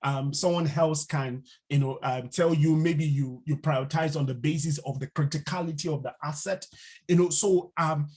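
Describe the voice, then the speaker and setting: A man teaching a live online cybersecurity class, unhurried and full of fillers, now and then putting questions to the class. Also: a little high, fairly even intonation, a little mellow, breathy edge. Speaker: instructor. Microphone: video call mic.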